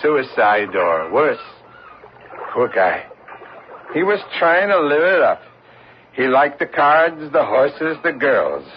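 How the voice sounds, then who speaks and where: A man's voice: mid-range voice, sing-song, slow, long pauses. An actor playing a gossipy, world-weary older boat club man, folksy and knowing, in an old-time radio drama. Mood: knowing, ominous. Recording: muffled, noisy old radio broadcast.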